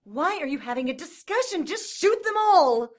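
A woman's voice: extremely high pitch, sing-song, moderate pace. A woman acting a fearful, demanding injured character in an audio drama. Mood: alarmed, exasperated.

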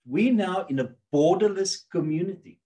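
A man's voice: high voice, normal speed. A middle-aged man from South Africa whose first language is Afrikaans, talking animatedly with his hands as a guest on a video call interview from his home office. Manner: animated, gesturing with both hands. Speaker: guest financial planner. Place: home office with framed pictures.

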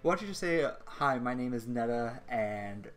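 A man's voice: medium pitch, animated, moderate pace, fairly bright tone, soft background music. A young man hosting a casual stream interview, rambling and joking as he reads questions from chat.

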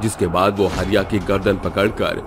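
A man's voice: sits low, fairly even intonation, normal speed, noisy background. A man narrating a scripted cartoon story.

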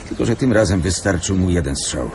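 An actor playing a brusque, impatient police commissioner in a radio play, clipped and commanding. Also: male voice, deep voice, lively intonation, a little fast, background noise. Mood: serious. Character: brusque, impatient male police commissioner running a murder case.

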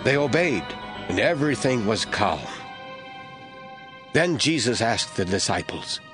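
A man narrating a Bible audio drama as scripted, expressive storytelling. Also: mid-range voice, a little slow, music in the background.

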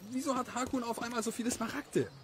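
A man's voice: very high voice, fast, noisy background. A man playing a puzzled, worried young villager with an earnest, questioning manner in an audio drama.

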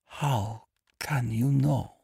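A man's voice: mid-range voice, lively intonation, unhurried, dark tone, no echo, studio quality, voice pushed up. A man voicing an elegant, frightened noblewoman with a slight foreign accent and a tremulous, pleading manner in a dramatic audiobook. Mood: pleading, startled. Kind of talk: audiobook character acting.

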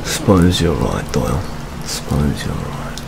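A young man playing a jaded, world-weary British police detective in an ASMR roleplay, speaking in a hushed, deliberate manner. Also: very deep voice, creaky, exaggerated voice. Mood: wry, weary. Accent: British English. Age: 20s. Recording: steady rain ambience noise. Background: rain sounds.

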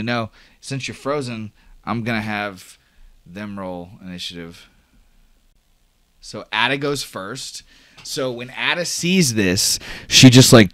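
Deep-voiced man narrating a game. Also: fairly bright tone, dry recording. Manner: loose, rambling, with frequent fillers and cursing. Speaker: game master. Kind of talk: casual improvised narration of a tabletop game.

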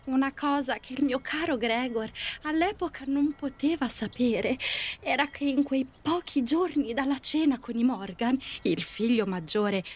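A woman playing a defiant, spirited young daughter in an audio drama, expressive and ready to take offence. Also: high voice, a little slow. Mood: wry, composed.

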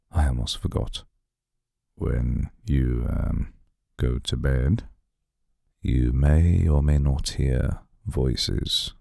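Guy doing a brooding vampire castle lord for asmr roleplay, aloof n menacing, raspy gravel voice, super dry close sound. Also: a little mellow, no room sound, full character voice. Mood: cool, formal. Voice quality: very deep, gravelly, husky. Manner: slow, deliberate, formal, brooding.

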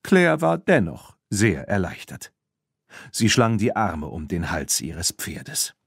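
Male children's audiobook narrator, lowish pitch, expressive storytelling, dry studio sound. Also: slow, creaky, husky, dry recording.

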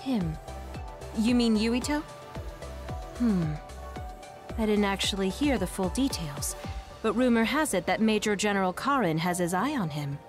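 An actress playing a poised, mentoring squad leader in an anime game, polished and gently authoritative. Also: female voice, mid-range voice, animated, measured pace, long pauses, music in the background, close to an everyday voice. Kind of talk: anime video game dub acting.

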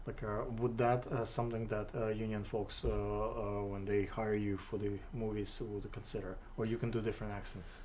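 A middle-aged man with a Russian accent hosting a studio interview, asking questions haltingly with many fillers and restarts. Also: fairly low pitch, flat delivery, darkish tone, slight background noise. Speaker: interview host. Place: studio with city backdrop, seated on sofa.

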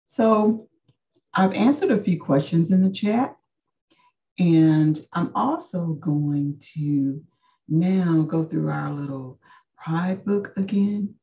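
Slow gravelly woman on a video call. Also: lowish voice, warm tone, recorded from a distance, muffled recording. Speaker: admissions presenter. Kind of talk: live video call presentation. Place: office.

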